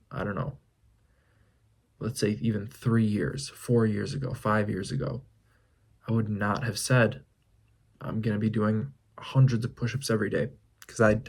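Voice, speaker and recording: A man's voice: lowish voice, creaky, a little mellow, husky. Young man musing aloud in a vlog. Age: 20s. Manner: musing, thinks aloud with pauses and restarts. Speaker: fitness vlogger. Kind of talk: reflective casual vlog monologue.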